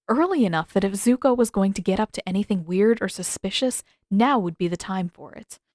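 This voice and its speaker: A woman's voice: mid-range voice, a little mellow, no room sound, studio quality. A woman reading a story aloud as scripted narration. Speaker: podfic narrator and author.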